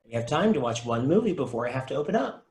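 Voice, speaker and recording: Man as an animated, geeky store clerk. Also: mid-range voice, fast, close to an everyday voice. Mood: earnest. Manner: earnest, conversational. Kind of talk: comedy script table read.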